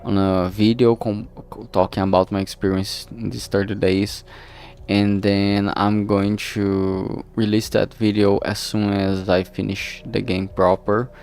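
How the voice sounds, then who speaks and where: A man livestreaming casually while he works, talking in a halting, distracted way that trails off mid-sentence. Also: fairly low pitch, little pitch movement, a little mellow, clean tone. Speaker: streamer and visual novel creator. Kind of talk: casual solo livestream chat while working. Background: background music.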